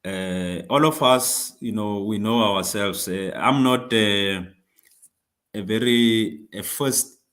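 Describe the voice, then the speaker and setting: A man from South Africa whose first language is not English, speaking to comrades in a discussion, halting with frequent fillers and restarts. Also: fairly low pitch, fairly bright tone. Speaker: speaker on labour politics.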